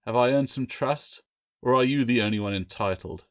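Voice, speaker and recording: A man's voice: medium pitch, normal speed, fairly bright tone, close to an everyday voice. A man voicing a proud, shrewd pirate captain in an audiobook, speaking formally and with authority. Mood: stern.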